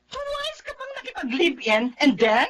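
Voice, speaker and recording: A woman's voice: high voice, wildly swooping pitch, unhurried, fairly bright tone, a little hiss or hum, heavily acted. An actress playing a concerned young female cousin, earnest and chiding, in radio drama style. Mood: reproachful. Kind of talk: Filipino radio drama acting.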